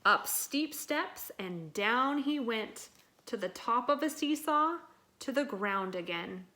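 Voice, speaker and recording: A woman reading a children's picture book aloud in an expressive, dramatic and deliberate way. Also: a little high. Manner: dramatic, deliberate delivery with acted sound words. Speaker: storybook reader.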